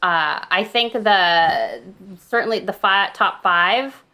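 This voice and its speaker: A woman's voice: mid-range voice, takes it slowly, sharp tone. A woman hosting a hockey trivia quiz on a podcast in casual, conversational talk.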